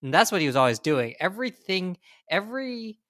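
A man with an American accent chatting as a podcast co-host, often halting and rephrasing himself as he goes. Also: high-pitched, very animated, a bit bright, dry recording, clean studio sound. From United States. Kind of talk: casual conversational discussion.

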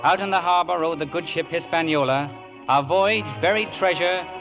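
An actor playing an earnest narrator who recalls a boyhood sea adventure, in measured, wistful 1950s radio drama style. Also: male voice, on the high side, natural voice. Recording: vintage radio, loud music underneath.

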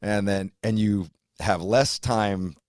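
A man's voice: lowish voice, steady tone, slow, no echo, clean studio sound. A man in his fifties hosting a podcast, chatting loosely and rambling with lots of "like" and "you know". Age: 50s. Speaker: podcast host. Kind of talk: casual interview chat.

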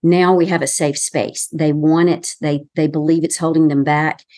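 A woman with a softened Southern American accent, a communication consultant giving measured, explanatory advice in an interview. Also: low-pitched, fairly even intonation, no echo. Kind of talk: professional podcast interview.

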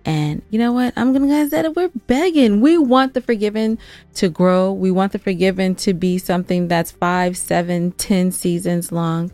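A woman's voice: medium pitch, moderate pace, dark tone, dry recording, faint music behind. A woman co-hosting a podcast, talking casually and earnestly to listeners with an emphatic, playful streak. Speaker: podcast co-host and producer.

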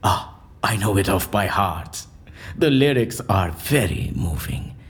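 An actor playing an eccentric, erudite man in an audio drama, speaking in a florid, pompous and animated way. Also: lowish voice, unhurried. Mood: chatty, whimsical. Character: eccentric, theatrical, erudite man, convinced his interrogation is staged.

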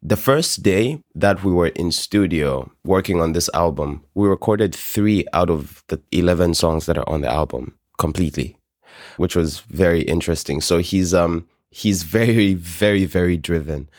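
A man's voice: low-pitched, normal speed, darkish tone, no room sound, studio quality. A man from Zambia, a native Bemba speaker, chatting casually and candidly as a singer-songwriter being interviewed.

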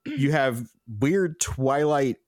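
A man's voice: mid-range voice, takes it slowly, no echo, studio quality. Joking man cohosting a podcast. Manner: jokey, animated, laughs often. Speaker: podcast co-host. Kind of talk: casual chat.

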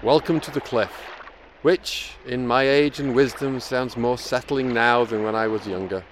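Casting: male actor as elderly grieving widower for audio drama, reflective and weary, medium pitch, faint background noise. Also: fairly even intonation, unhurried, close to an everyday voice. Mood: wistful, weary. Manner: reflective, wistful, poetic. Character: grieving elderly widower looking back on his life, weary. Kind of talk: audio drama acting with monologue.

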